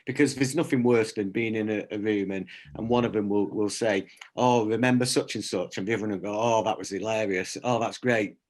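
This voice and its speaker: A man's voice: lowish voice, steady tone, fairly quick. A man speaking conversationally as a workshop presenter, explaining through anecdotes and little examples of dialogue. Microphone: video call.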